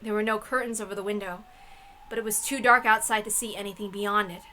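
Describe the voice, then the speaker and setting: A woman giving a dramatic, theatrical narrated reading of a story in an expressive manner. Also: mid-range voice, fast, slight background noise, rough recording. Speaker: reader of a visual novel.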